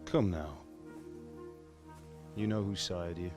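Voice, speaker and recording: A gentle middle-aged father in a cinematic medieval game. He speaks slowly and consolingly in a slightly low voice. Music with horns swells behind him. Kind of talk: cinematic video game dialogue.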